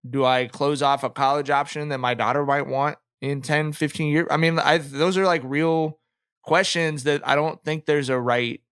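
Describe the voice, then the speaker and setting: A man hosting a podcast in a casual conversational style, informal and thinking out loud as he talks. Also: fairly high pitch, steady tone, moderate pace, smooth, no room sound, clean studio sound. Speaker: podcast host.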